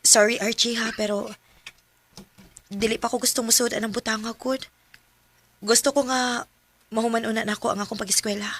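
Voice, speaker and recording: A woman's voice: fairly high pitch, steady tone, fairly quick, pauses often, fairly bright tone. An actress playing a young female student who turns down a classmate, speaking directly. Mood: apologetic. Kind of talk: amateur radio drama acting.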